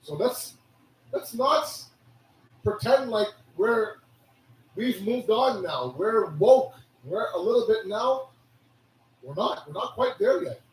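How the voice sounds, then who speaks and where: Hoarse man preaching in an echoey hall. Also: high-pitched, slow, long pauses, distant mic. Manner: animated preaching with rhetorical questions. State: hoarse, losing his voice. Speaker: religious speaker. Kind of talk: sermon.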